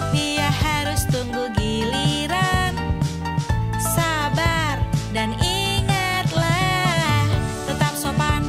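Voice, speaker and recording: A woman's voice: sits high, drawn-out words, over loud music. A woman singing a simple educational children's sing-along. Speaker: singer of children's songs. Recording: loud musical backing.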